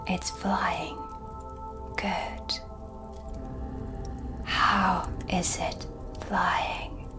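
Give the dark witch a lowish, hushed voice , acted by a woman in a cinematic ASMR roleplay. She speaks very slowly and deliberately, mysterious and dryly teasing, close to the mic. Soft music sits behind her. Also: steady tone. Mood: mysterious, guiding. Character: dark witch, female, mysterious, sardonic, calm teacher of shadow magic. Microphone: close studio mic for ASMR.

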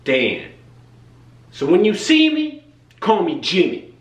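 A man's voice: high-pitched, wildly swooping pitch, takes it slowly, exaggerated voice. A young man playing a delusional, self-styled tough hustler, talking in street slang with self-importance. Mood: self-important swagger. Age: young adult. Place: small home kitchen. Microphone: camera a few feet away. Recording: roomy, distant camera sound, faint hum.

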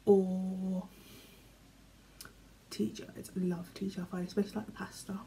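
Young woman, British accent, chatty question-and-answer vlog, relaxed dark tone, small bedroom, camera mic. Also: medium pitch, moderate pace, creaky, a little hiss or hum, rough recording. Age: young adult. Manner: chatty, filler-heavy, often trails off quietly. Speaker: vlogger. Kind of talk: casual chat, reading questions aloud. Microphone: camera in front, medium framing.

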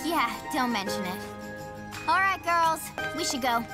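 Proud, sensitive schoolgirl for kids' animation, high lively voice, dramatic and self-assured, music underneath. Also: unhurried. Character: proud, hurt young girl fairy in training. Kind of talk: children's cartoon dub. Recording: music behind the voice.

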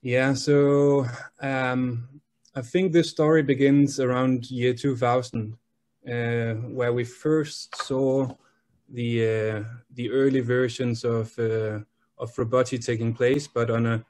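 A man's voice: mid-range voice, steady tone, slow pace, a little mellow. A young man with a Danish accent, speaking as a guest on a video call interview, with lots of fillers and restarts. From Denmark. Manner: hesitant, many fillers and restarts. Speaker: guest, sales manager. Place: small room indoors. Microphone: laptop webcam on video call.

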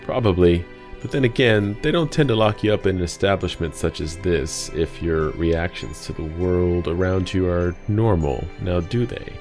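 A man playing a sinister, wry asylum inmate in an audio drama, calm and deadpan as he taunts. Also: sits low, normal speed, few pauses, soft background music, lightly acted.

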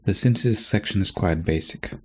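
A man narrating a software tutorial from a script, as a presenter explaining step by step. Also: low-pitched, brisk, over loud music.

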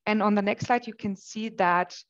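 A woman from Germany with a German accent, giving a webinar presentation in a measured way with frequent pauses. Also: medium pitch, fairly even intonation, highs cut off. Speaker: marketing manager presenting. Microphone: webcam on a video call.

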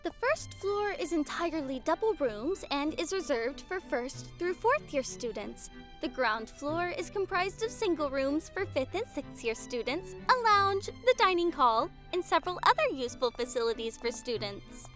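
A woman's voice: high voice, big pitch swings, normal speed, fairly bright tone, faint music behind. A woman voicing a composed, dryly polite schoolgirl friend in a fan dub. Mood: informative.

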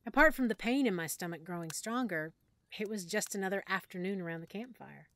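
A woman narrating a first-person audiobook story in a measured, emotional way that sometimes drops to a hush. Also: medium pitch, lively intonation, no room sound. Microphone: close studio mic.

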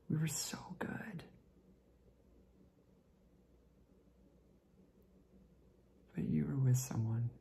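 Man acting a creaky, hushed, lovesick young man. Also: medium pitch, a little hiss or hum, close to an everyday voice. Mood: aching, confessing. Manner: halting, repetitive, breathy drops to near-whisper. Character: tipsy, lovesick young man confessing to a friend. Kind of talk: dramatic stage monologue rehearsal.

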